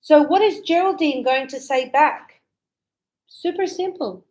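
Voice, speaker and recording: A woman teaches English with a high, bright-sounding voice. She talks at an unhurried pace and spells each point out clearly. She acts out short example dialogues for her learners. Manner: slow, clear explaining, acting out sample dialogues.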